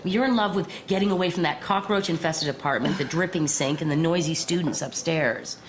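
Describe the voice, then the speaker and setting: A woman's voice: sits low, a little fast, natural voice. An actress playing a brash, irreverent woman writer with a blunt, teasing, sardonic way of talking in an audio drama. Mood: frank, challenging. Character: brash, irreverent, bossy young female magazine writer. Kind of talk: naturalistic audio drama dialogue.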